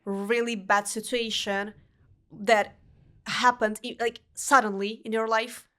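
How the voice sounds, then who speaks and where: A young woman with a Russian accent speaks English as a second language, her voice sitting a touch high. She talks earnestly in a casual interview, often restarting her sentences and choosing words carefully. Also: flat delivery, a bit bright. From Uzbekistan. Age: young adult. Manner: earnest, halting non-native phrasing with restarts. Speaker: podcast guest.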